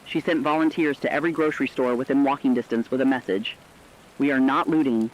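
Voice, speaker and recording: A man's voice: mid-range voice, fairly even intonation, quick pace, highs cut off, close to an everyday voice. A man playing an earnest radio correspondent, telling human stories in solemn, scripted narrative reporting. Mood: solemn, moved.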